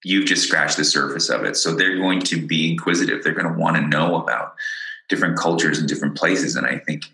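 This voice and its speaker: A man's voice: very deep voice, fairly quick, creaky, pushed and bright, reverberant, poor audio. A man raised in Canada, a podcast guest, talking earnestly and with enthusiasm, often restarting and repeating words. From born in Ireland, raised in Ontario, Canada. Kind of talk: casual interview chat.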